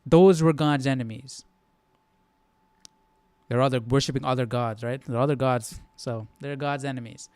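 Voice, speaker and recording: A man's voice: medium pitch, fairly even intonation, normal speed, long pauses, a little mellow, smooth, dry recording. A man hosting a casual live Bible study, thinking aloud informally and often checking in with the listener. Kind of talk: casual live commentary on scripture.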